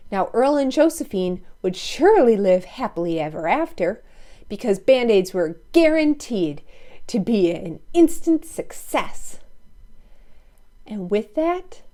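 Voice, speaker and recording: A woman's voice: a little high, dark tone. A woman reading a children's story aloud expressively, with playful asides to listeners. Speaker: storybook read-aloud narrator.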